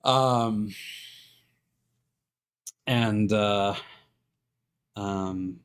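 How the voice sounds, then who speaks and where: A man with a fairly low voice talks off the cuff as a live streamer. He rambles through his opinions, often repeating words as he feels for the next thought, and can be blunt. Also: steady tone, clean tone. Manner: halting, circling back and repeating words. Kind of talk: casual opinionated rambling on a livestream.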